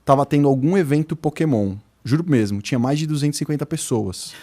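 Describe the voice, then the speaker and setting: A man's voice: a little high, fast, mellow. A man chatting informally and explaining things as a podcast host. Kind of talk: casual podcast chat.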